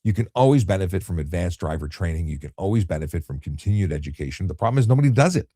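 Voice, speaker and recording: Low, husky man. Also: rapid-fire, no echo, studio quality. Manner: calm, explanatory, many restarts and fillers. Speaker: retired traffic police officer, live-stream host. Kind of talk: relaxed late-night live chat reading viewer questions.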